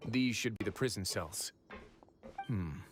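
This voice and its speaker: A man playing a composed, authoritative prison warden in a video game, measured, formal and philosophical. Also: on the low side, animated, background noise. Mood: businesslike.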